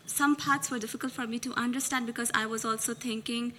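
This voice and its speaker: A soft-spoken woman from Pakistan whose native language is Urdu, hesitantly asking a question after a lecture. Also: fairly high pitch, steady tone, fairly quick, a little hiss or hum. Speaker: audience member asking a question.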